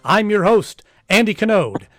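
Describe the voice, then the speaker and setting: High-pitched male radio drama announcer. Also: expressive, a little slow, a bit bright, dry recording, soft background music. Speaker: announcer and host.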